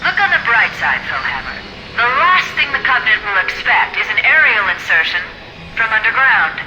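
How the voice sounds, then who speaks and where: Lively, confident female AI. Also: medium pitch, lo-fi sound, a little hiss or hum. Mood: wry. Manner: brisk, analytical. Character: confident, wry female shipboard artificial intelligence. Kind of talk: video game cutscene acting. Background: music.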